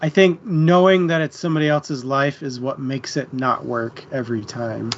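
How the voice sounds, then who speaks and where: A man's voice: mid-range voice, steady tone, moderate pace. A man hosting a book discussion stream, speaking informally to his co-host and the live chat. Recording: dull lo-fi stream, faint noise.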